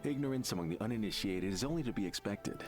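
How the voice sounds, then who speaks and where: A man's voice: lowish voice, animated, fast talker, over music, close to an everyday voice. A man voicing a smug, scornful townsman in a video game, speaking in a formal, condescending way. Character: scornful, smug male townsman devoted to a guru.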